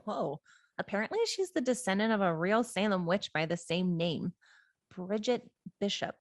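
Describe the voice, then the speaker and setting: A woman's voice: medium pitch, lively intonation, moderate pace, no echo, clean studio sound, close to an everyday voice. A woman playing an earnest, hopeful young amateur witch in a table read, speaking candidly and conversationally. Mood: appreciative, interested. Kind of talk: informal script table read.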